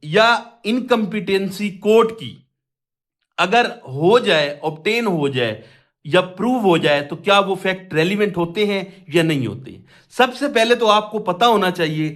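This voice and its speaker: A man's voice: high voice, expressive, a bit bright. A Pakistani man giving an explanatory law lecture in a didactic way, posing questions and then answering them himself. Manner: reads quoted provisions slowly in segments. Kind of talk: explanatory lecture mixing Urdu with English legal terms.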